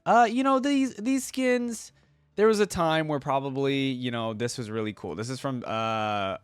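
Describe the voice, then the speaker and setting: A man with a medium-pitched voice gives informal reaction commentary as the host of a gaming video. He talks casually and thinks aloud as he weighs his opinions. The recording is close and very dry, with no room echo. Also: fairly bright tone, smooth.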